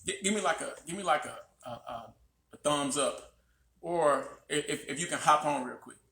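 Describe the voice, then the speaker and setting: A man with a loud voice, co-hosting a casual live-stream chat. He is energetic, repeats himself and restarts his sentences often. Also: on the high side, normal speed. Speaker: podcast co-host. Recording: roomy echo.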